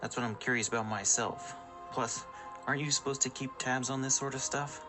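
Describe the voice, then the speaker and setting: A man playing a gruff, guarded spaceship captain in a sci-fi radio drama, blunt and suspicious. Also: mid-range voice, steady tone, fairly quick, over music, lightly acted. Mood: wry, guarded.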